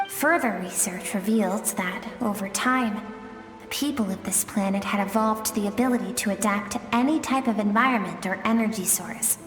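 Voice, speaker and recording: An actress playing the suppressed emotional self of a warrior woman in a video game, speaking in a solemn, measured and earnest way. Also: mid-range voice, natural voice. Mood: somber, grieving. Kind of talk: dramatic video game voice acting, storytelling monologue. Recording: echoey reverberant hall effect, music behind.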